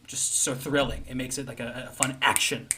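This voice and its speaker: A man's voice: medium pitch, steady tone, fairly quick, crowd noise, lightly acted. A man playing an enthusiastic, pretentious commercial audition director, effusive and rambling in improvised comedy banter. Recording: background noise and clicks.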